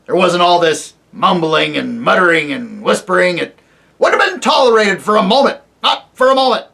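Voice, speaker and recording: A reader voicing a very old, deaf and irritable male dwarf who talks loudly and mishears words, in a storybook reading. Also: mid-range voice, lively intonation, normal speed, vocal fry, voice pushed up. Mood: grumbling. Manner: loud, testy, mishears words.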